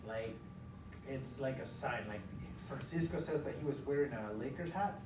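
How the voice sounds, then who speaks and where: A man teaching a class through an interactive read-aloud, pausing to question and explain as he goes. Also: medium pitch. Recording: echoey room, far from mic, loud noise.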